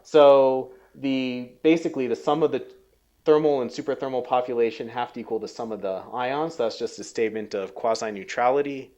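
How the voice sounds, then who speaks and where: A man giving a technical research lecture, halting and full of ums and restarts, his voice a little worn. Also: mid-range voice, darkish tone, highs cut off. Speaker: scientist giving a research talk.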